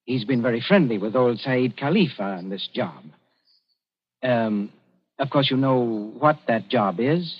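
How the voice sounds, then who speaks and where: An actor playing a shrewd, composed young colonial official in a 1940s radio drama, speaking in a measured, dryly ironic way. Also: male voice, mid-range voice, lively intonation, slightly rough. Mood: dryly amused. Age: young adult. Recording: dull, muffled 1940s radio recording.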